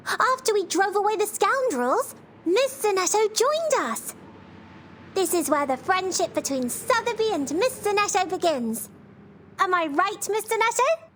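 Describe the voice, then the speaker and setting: An actress voicing an excitable, naive, well-bred young girl in a video game, eager and sweet. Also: very high voice, full character voice. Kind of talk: animated video game acting. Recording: music under the voice.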